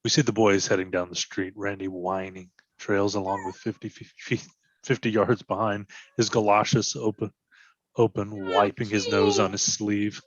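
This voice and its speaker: Low-voiced man narrating, dull dry recording. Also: little pitch movement, moderate pace, no room sound. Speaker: reader of stage directions. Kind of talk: scripted narration for readers theatre.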